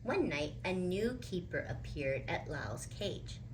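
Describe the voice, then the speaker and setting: A woman's voice: on the low side, very animated, measured pace, background noise. A woman reading a children's picture book aloud as a storyteller, expressively and with dramatic emphasis.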